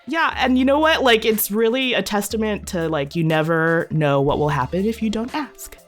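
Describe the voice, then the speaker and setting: A woman's voice: mid-range voice, animated, moderate pace, soft background music. A woman guest-hosting a podcast interview, upbeat and conversational. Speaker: guest host.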